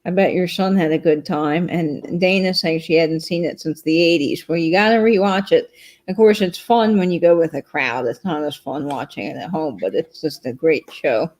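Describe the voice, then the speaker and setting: Easygoing lower-voiced woman co-host. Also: normal speed, darkish tone. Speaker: co-host reading viewer comments. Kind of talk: casual livestream chat.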